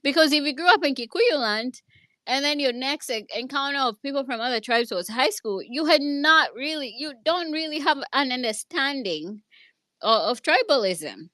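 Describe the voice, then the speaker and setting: A woman's voice: sits high, animated, normal speed, pushed and bright, dry recording. A woman with a Kenyan accent telling a personal story in an online discussion, earnest and hesitant, with many restarts. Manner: earnest, speaks from her own experience. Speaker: speaker in an online audio discussion.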